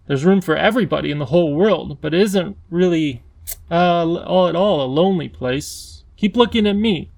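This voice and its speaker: A man's voice: on the high side, lively intonation, normal speed, gravelly, darkish tone, voice pushed up. A man voicing a brave, earnest young girl who urges and coaxes in a storybook reading. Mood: urgent, coaxing. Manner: pleading, eager.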